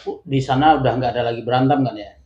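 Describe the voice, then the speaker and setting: A man's voice: mid-range voice, steady tone, quick pace, darkish tone. A man hosting a casual interview, recapping and commenting on what his guest says. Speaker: interview host. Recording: dull sound, echoey room.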